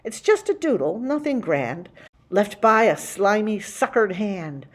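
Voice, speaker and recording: A woman hosting a library story time, reading a rhyming picture book to children in a theatrical, rhythmic way with dramatic slowing. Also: medium pitch. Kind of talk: expressive picture book read-aloud for children.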